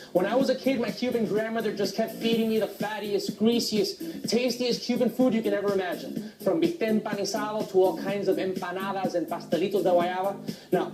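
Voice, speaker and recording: A man hosting a TV show, delivering his intro in an upbeat, scripted way. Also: sits high. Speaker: host. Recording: echoey room with music behind.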